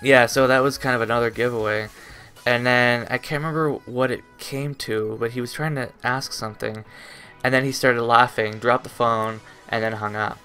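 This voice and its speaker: A young man in his twenties vlogs casually at his desk in a bedroom. His voice sits at an average pitch and he speaks in a hesitant, rambling way, letting thoughts trail away. Faint music plays behind him. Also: sharp tone. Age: 20s. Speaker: YouTube vlogger. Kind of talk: casual rambling webcam vlog. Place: small bedroom, indoors.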